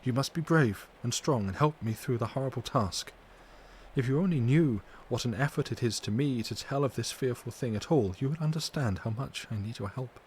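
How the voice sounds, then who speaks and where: A man voicing a distressed but tender and brave young wife, recounting in an earnest, measured, formal way for an audiobook. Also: mid-range voice, lightly acted. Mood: tender pleading. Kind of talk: dramatic audiobook character reading. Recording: steady background noise.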